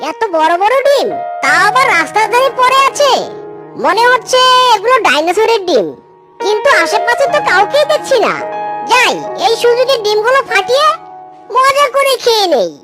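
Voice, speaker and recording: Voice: extremely high pitch, very animated, moderate pace. An actor voicing a sly, greedy, lazy male cartoon fox, with an exclamatory, cajoling manner. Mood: greedy, curious. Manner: exclamatory, cajoling, catchphrase-laden. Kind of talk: exaggerated children's cartoon acting. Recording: music behind the voice.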